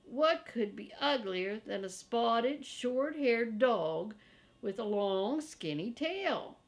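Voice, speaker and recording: A woman in her sixties reading a children's chapter book aloud in a folksy, deliberate way, as first-person narration. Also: fairly high pitch, expressive. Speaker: storybook reader for children. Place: small furnished room indoors, seated in an armchair.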